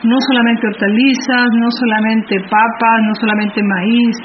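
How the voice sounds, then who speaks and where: A Chilean woman explains her points in an interview, thinking aloud and pausing on filler sounds as she builds each idea. The recording is dull and slightly muffled, with a steady hiss of noise behind her voice. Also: on the high side. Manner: explanatory, thoughtful, frequent hesitation fillers. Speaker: activist and researcher. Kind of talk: interview answers.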